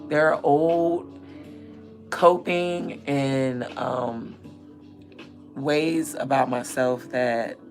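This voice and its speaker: Raspy, gravelly woman chatting on a livestream. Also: a bass voice, steady tone, very slow, pauses often, faint music behind. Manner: candid, rambling, drifts between loud and murmured asides. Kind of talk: casual livestream chat.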